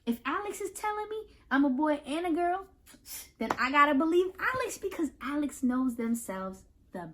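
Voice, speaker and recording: A woman reading a picture book aloud to children, pausing to explain and put questions to the listeners in an instructive, animated way. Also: sits high. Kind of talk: children's read-aloud with teaching asides.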